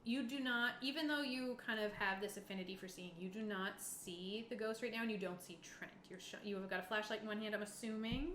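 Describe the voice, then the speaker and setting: A woman's voice: mid-range voice, fairly quick, few pauses, a bit bright. A woman acting as game master, improvising narration and informally talking her players through their choices. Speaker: game master running a tabletop session. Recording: echoey room with background noise.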